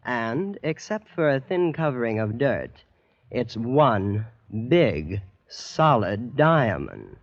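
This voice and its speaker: An actor playing a genteel, nonchalant young heir in an old radio drama, with self-assured, drawn-out dramatic phrasing. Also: male voice, mid-range voice, big pitch swings. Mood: blasé, boastful. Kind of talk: 1940s-50s radio drama acting. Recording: dull vintage radio recording.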